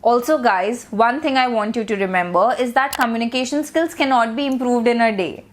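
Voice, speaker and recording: A young woman whose first language is Hindi, giving steady, clearly spoken communication tips as a coach. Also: fairly high pitch, fairly quick. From India. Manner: steady, instructive, clearly enunciated. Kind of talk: scripted advice talk to camera.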